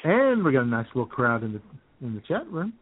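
A man's voice: medium pitch, very animated, brisk, breathy edge. A man co-hosting an internet radio show with casual, irreverent, wisecracking comedic banter. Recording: thin phone-like internet radio sound.

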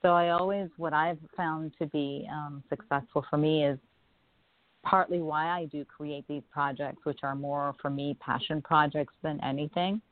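A guest from Jamaica who works in film, answering interview questions in a thoughtful, measured way. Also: mid-range voice, fairly even intonation, no echo. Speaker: filmmaker guest. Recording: dry, phone-like line.

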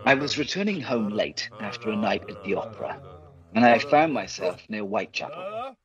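Man acting a low, animated, righteous gentleman. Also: moderate pace, muffled recording, over music. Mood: storytelling. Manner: formal, theatrical. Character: earnest, indignant gentleman, righteous and protective. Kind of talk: radio play acting.

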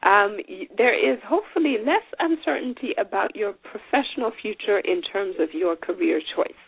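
A woman's voice: medium pitch, expressive, normal speed, vocal fry, slightly rough, no echo. A woman in her forties hosting a solo podcast, talking in a chatty, folksy, encouraging way. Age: 40s. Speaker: podcast host. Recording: tinny phone-like sound.

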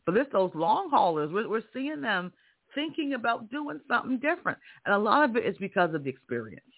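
A man's voice: high-pitched, very animated, brisk, gravelly. Man, strong voice, emphatic motivational host, rhetorical questions, phone-line audio. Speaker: career podcast host. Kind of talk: motivational monologue.

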